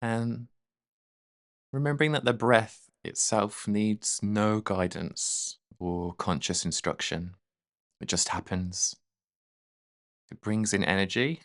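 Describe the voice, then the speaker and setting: A man leading a guided meditation in a gentle, soothing, unhurried manner. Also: on the low side, dry recording, clean studio sound.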